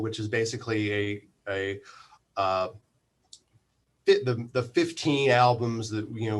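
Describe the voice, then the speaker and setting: Man, medium pitch, interview guest, casual, thoughtful, halting explanations. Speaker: interview guest, community radio manager.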